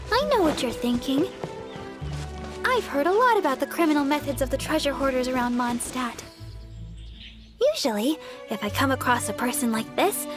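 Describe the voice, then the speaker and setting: A young deaconess healer from an anime fantasy game. Her voice is extremely high and lilts widely as she speaks. She sounds kind, earnest and a touch hesitant, with music playing behind her. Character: young gentle female church deaconess healer in a fantasy adventure. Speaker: video game character. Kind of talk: scripted anime-style game dialogue.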